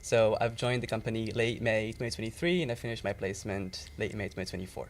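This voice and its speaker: A young man from Morocco with a low voice talks about his studies in a relaxed way, hedging with fillers. Faint noise sits behind him. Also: fast. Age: young adult. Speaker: student guest.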